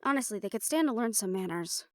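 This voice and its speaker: A woman's voice: mid-range voice, lively intonation, fast talker, darkish tone, no room sound, studio quality, natural voice. A woman playing a confident, playful siren-banshee warrior in a conversational audio roleplay, talking intimately to the listener. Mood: proud, amused.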